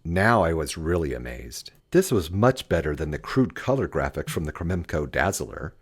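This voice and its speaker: A man reading a first-person history as scripted audiobook narration, in an expressive storytelling manner. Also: deep voice, moderate pace, husky. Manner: expressive storytelling, acts out quoted dialogue.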